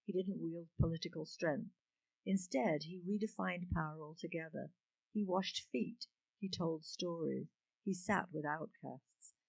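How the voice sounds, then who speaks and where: A man's voice: fairly high pitch, measured pace, vocal fry, no echo, poor audio. A man reading a script plainly and unhurriedly, with a small slip now and then. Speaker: reader.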